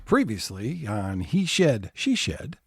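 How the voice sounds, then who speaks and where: A man narrating a scripted audio comedy episode as its announcer, in a measured storytelling manner. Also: medium pitch, huge pitch swings, slightly rough, no echo, soft background music, exaggerated voice. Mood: presenting.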